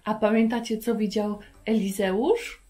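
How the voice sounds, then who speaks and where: A woman in her thirties telling stories to young children in an expressive way, often putting questions to her listeners. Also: mid-range voice, measured pace, soft background music. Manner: expressive, addresses viewers with questions. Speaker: host of a children's Bible lesson. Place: furnished room indoors.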